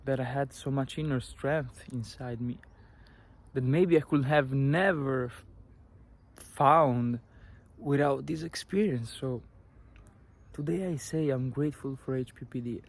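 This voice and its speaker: A young man with an Italian accent talks slowly to the camera, giving heartfelt advice. His delivery is earnest and halting, full of small restarts and fillers, at a middling pitch. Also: a little hiss or hum. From Italy. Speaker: vlogger. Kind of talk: personal advice talk to camera.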